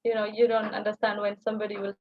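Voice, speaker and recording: A woman's voice: medium pitch, monotone, fast talker. A young woman with a Kenyan accent, whose first language is Somali, explaining at an easy pace with many fillers. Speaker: guest language teacher. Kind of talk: presenting on a livestream call. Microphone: video call. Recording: muffled video call audio with light noise.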